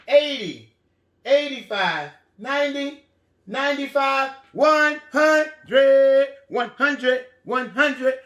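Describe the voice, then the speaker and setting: A man leads young children through a counting lesson with an encouraging, animated delivery. His voice is strikingly high and bright, and he takes his time with short, repeated phrases. The sound is roomy and echoing. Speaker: elementary teacher and lesson host.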